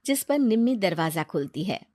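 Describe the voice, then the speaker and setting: A woman's voice: medium pitch, sing-song, moderate pace, darkish tone, no room sound, studio quality. A woman narrating a scripted moral story for a cartoon.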